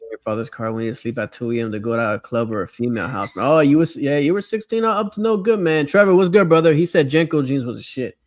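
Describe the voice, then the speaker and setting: A man's voice: medium pitch, fast, few pauses, no room sound. American man hosting a casual chat, dry sound. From Miami, United States. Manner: slangy, conversational. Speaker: livestream host reading viewer comments.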